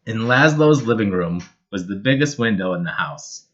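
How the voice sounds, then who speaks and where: A man reading a children's picture book aloud in an expressive, measured and dramatic storytelling style. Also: lowish voice, lo-fi sound. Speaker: storybook reader.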